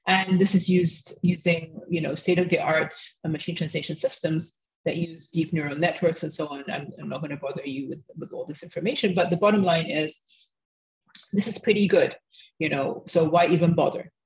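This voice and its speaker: A woman giving an academic seminar talk over slides, speaking quickly and often restarting and correcting herself. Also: deep voice, fairly even intonation, lo-fi sound. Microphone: video call microphone.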